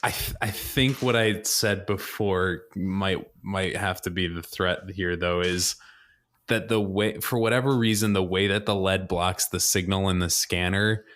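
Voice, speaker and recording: A man's voice: deep voice, steady tone, measured pace, a bit bright. A man chatting casually as a player in a tabletop role-playing game, improvising what his character does.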